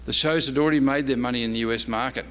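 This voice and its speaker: Australian man, historian at the podium, prepared lecture delivery, mid-pitched voice, long hall reverb. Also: fairly even intonation, rapid-fire, fairly bright tone, clean tone. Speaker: historian and archivist giving a talk. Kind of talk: prepared lecture, largely read aloud. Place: large indoor convention room.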